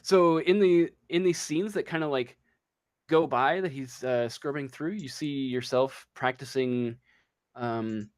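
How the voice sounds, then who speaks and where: A man running a tabletop game as game master, improvising the narration haltingly as he thinks aloud. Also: mid-range voice, darkish tone.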